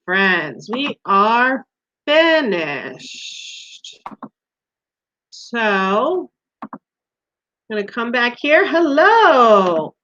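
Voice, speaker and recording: Animated woman teacher quizzing young children. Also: a little high, highs cut off. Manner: simple questions with pauses and encouragement, addressed to small children. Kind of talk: interactive read-aloud quiz for young children.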